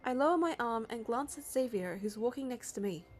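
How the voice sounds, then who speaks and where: Female narrator, high voice, scripted game story reading with music underneath. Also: animated, a little fast. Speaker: narrator and reader of a game playthrough. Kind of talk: scripted story narration with dialogue read aloud. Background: background music.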